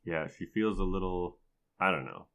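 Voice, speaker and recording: A man with a deep voice chats as co-host of a gaming podcast. His delivery is casual and joking, often mock-serious. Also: moderate pace, fairly bright tone. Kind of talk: casual chat about a video game.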